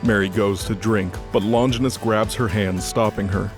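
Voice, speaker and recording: A man narrates a scripted drama. His voice sits on the low side. Faint music runs underneath as he reads the action. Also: fairly even intonation, moderate pace.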